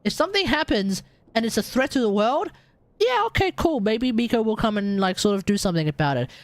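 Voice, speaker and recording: A woman's voice: a little high, lively intonation, brisk, dry recording. A woman doing casual live game commentary as a streamer, slangy and opinionated, reacting aloud.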